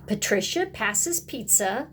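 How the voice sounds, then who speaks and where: A woman's voice: a little high, very animated, slow pace, background noise. A woman kindergarten teacher reading a picture book aloud and talking directly to young children in a sing-song way.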